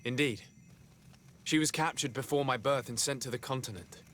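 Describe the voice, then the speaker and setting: Man acting a polite, mid-pitched adventurer. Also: normal speed, a bit bright, slight background noise, natural voice. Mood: earnest. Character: polite, earnest male adventurer seeking family. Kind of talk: video game cutscene acting.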